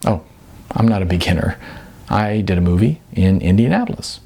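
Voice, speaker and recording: A man's voice: sits low, animated, gravelly, dark tone, husky, full character voice. A man voicing a naive, eager young aspiring actor in an audiobook, speaking hesitantly. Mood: hesitant, hopeful. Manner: hesitant, with stammers and pauses. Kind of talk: audiobook character dialogue.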